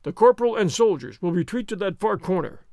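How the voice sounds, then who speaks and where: A cool, self-assured masked swordsman, as a man voices him in a storybook reading. He speaks in calm, commanding tones with a mocking edge and a lively, rising-and-falling delivery. The voice is dry and close. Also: sits high, brisk, no room sound. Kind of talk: audiobook character reading.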